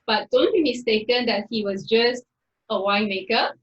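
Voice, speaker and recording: A woman's voice: fairly high pitch, normal speed, a little hiss or hum. Chatty woman hosting over a dull call. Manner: chatty, with many fillers. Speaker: host of a wine tasting. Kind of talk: friendly event introduction.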